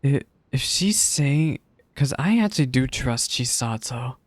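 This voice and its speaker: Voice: mid-range voice, lively intonation, measured pace, no echo. A game streamer giving casual live commentary, musing aloud in a doubtful way. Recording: game music behind voice.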